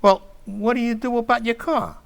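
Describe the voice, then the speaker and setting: A man's voice: very high-pitched, fairly even intonation, normal speed, gravelly, clear, resonant tone. A man playing a middle-aged, blustering working-class family man in old-time radio comedy style, with folksy ungrammatical speech and comic stammers. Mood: casual, defensive.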